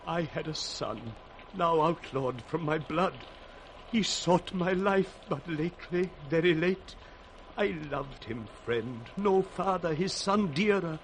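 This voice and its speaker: Slow, grieving old nobleman. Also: a little high. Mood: grief-stricken. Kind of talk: 1960s Shakespearean radio acting. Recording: faintly noisy 1960s radio recording.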